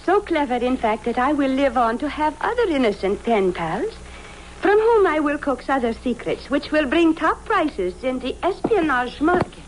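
A woman's voice: sits high, very animated, normal speed. An actress playing a smug, cunning female spy with a precise manner in a 1950s radio drama. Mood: smug, menacing. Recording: slightly noisy vintage radio recording.

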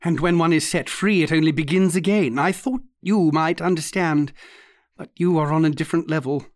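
A man's voice: a little high, moderate pace, dry recording, studio quality, natural voice. A man voicing an intense, haunted young man who speaks earnestly and insistently in an audiobook story. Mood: brooding. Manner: earnest, insistent, guttural at times.

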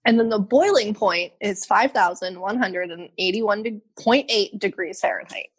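A woman's voice: medium pitch, normal speed, slightly rough. A woman in her twenties co-hosting a casual podcast, laughing and swearing as she reads facts aloud. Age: 20s. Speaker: podcast co-host.

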